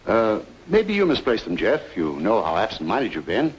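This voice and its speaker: Slightly noisy old radio play, a polite boss type smoothing things over, low lively voice. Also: male voice, normal speed, muffled recording. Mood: concerned. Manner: polite, placating. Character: courteous, conciliatory businessman backing his friend. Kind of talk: old-time radio drama acting.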